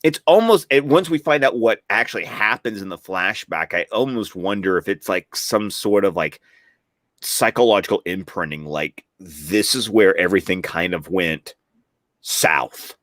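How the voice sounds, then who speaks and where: A man's voice: low voice, animated, moderate pace, fairly bright tone, no echo, clean studio sound. A man co-hosting a recap show, talking casually and reflectively as he analyses the story and draws comparisons. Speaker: podcast co-host discussing cartoon episodes. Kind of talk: casual chat, commentary.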